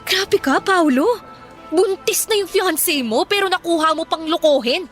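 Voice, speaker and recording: An actress playing a wronged, outspoken young female office worker in Filipino radio drama, with an expressive, conversational delivery and a Filipino accent. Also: very high voice, moderate pace. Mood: indignant. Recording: background music under the voice.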